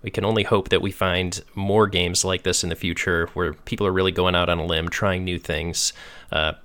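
A man's voice: low voice, little pitch movement, normal speed, fairly bright tone. A man hosting a podcast, talking casually about video games with music in the background. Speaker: podcast host. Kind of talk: casual video game discussion.

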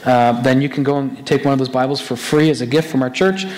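A hoarse man with a raspy voice, a pastor preaching a conversational sermon with many restarts. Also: medium pitch, steady tone, fast, darkish tone, low-quality recording.

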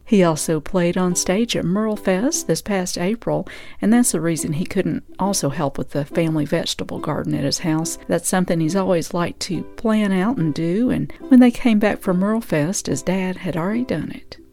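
A woman's voice: on the low side, moderate pace, vocal fry, dark tone, faint music behind. A woman from the United States reading scripted narration as the host of a radio show and podcast.

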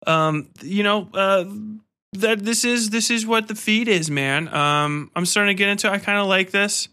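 A man's voice: sits high, normal speed, bright, forceful tone, clear, resonant tone. A man hosting a podcast in a casual, informal, slangy way. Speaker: podcast host interviewing an author.

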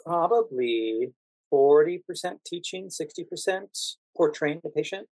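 An American man answering interview questions as a guest, explaining things in a measured, thoughtful conversational way. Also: a little high, animated, a little mellow, no room sound, rough recording. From United States. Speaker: podcast guest, actor working as a standardized patient.

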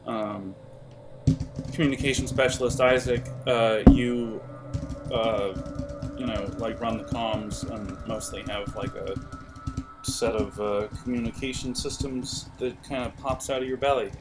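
Game master, male, mid-range voice. Casual improvised narration, halting as he searches for words, music clearly underneath. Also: medium pitch, fairly even intonation.

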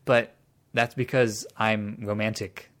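A young man hosting a casual podcast chat, telling stories in a relaxed way and laughing easily. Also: lowish voice, slow. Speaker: podcast host.

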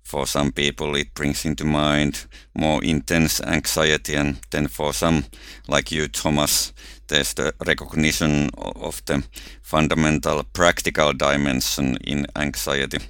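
Finnish man with a bright, crisp, very deep voice. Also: flat delivery, measured pace, few pauses, dry recording, clean studio sound. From Finland. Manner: careful, explanatory, with frequent small restarts. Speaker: researcher and guest. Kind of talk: thoughtful podcast conversation.

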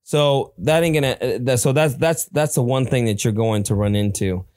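A man's voice: medium pitch, moderate pace, darkish tone, no echo, studio quality. Rambling man, Spanish his first language. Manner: rambling, many restarts and fillers. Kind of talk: casual chat.

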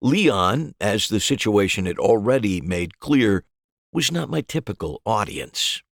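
A man's voice: on the low side, very animated, measured pace, creaky, dry recording, clean studio sound. A man narrating a scripted first-person story as a storyteller.

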